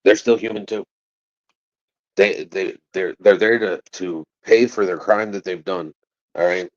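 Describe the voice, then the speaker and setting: A man speaks in a very low, deep register, and his answers stop and restart as he searches for words. When he pushes back he turns blunt and defiant. The audio is dry and slightly muffled, with a lo-fi edge. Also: steady tone, no room sound. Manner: halting and rambling, then rising to defiant, forceful outbursts. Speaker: father of a missing child, interviewee. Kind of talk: interview answers.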